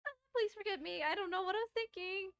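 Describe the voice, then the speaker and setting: Woman putting on a high, shy, nervous anime girl. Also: fast, fairly bright tone. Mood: flustered, apologetic. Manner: apologetic, hesitant, self-conscious. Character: shy, nervous, bookish anime girl who adores knives.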